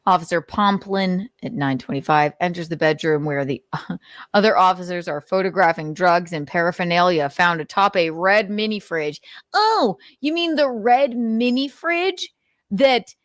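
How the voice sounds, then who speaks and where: A woman's voice: on the low side, lively intonation, normal speed, dry recording, clean studio sound. A woman hosting a livestream, reading notes aloud and adding blunt, sweary, theatrical and sarcastic commentary. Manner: blunt, sweary, indignant asides. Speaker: livestream host.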